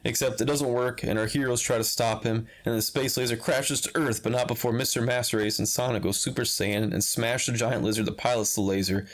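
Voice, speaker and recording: A man's voice: mid-range voice, fairly even intonation, brisk, hardly any pauses. A man narrating a scripted comedic video game review in a sardonic, crude joking manner. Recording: loud music behind the voice. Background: loud music.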